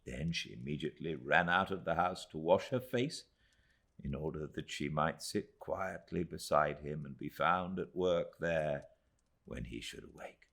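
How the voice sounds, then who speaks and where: A British man narrating a classic novel as an audiobook, expressive, with measured and dramatic phrasing. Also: rumbling low, vocal fry. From Britain.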